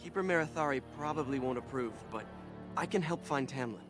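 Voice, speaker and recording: An actor playing an eager young elf hunter, earnest and keen to help, in fantasy game dialogue. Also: male voice, a little high, brisk, lightly acted. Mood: earnest, insistent. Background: music.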